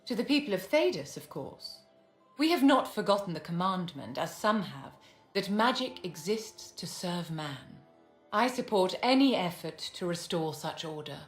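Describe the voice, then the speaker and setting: An actress playing a poised, aristocratic mage in a video game, speaking in a measured, courtly and haughty manner. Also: female voice, on the low side, animated, close to an everyday voice. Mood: poised, assured. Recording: reverberant hall with music underneath.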